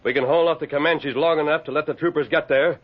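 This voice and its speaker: A man's voice: a little high, steady tone, quick pace, lightly acted. An actor playing a resolute masked western lawman in an old radio drama, urgent and commanding. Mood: firm, commanding. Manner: urgent, authoritative, clipped commands. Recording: dull lo-fi vintage radio recording.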